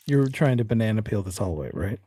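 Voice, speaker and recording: Soft, husky, mellow man running a game. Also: on the low side, expressive, brisk, no room sound. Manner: casual, teasing banter. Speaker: game master. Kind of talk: narrating a tabletop horror role-playing game.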